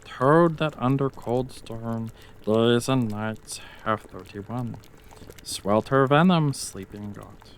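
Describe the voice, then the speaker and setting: A man playing an ancient, sinister witch in a Shakespeare reading, croaking slow rhymed spells with dark relish. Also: medium pitch, slight background noise. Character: ancient sinister witch, gleeful over the cauldron.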